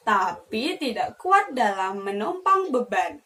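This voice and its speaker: A woman's voice: mid-range voice, very animated, takes it slowly, fairly bright tone, far from the mic. A woman voicing a wise mouse-deer giving counsel in a children's fable. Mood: admonishing. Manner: admonishing, sage.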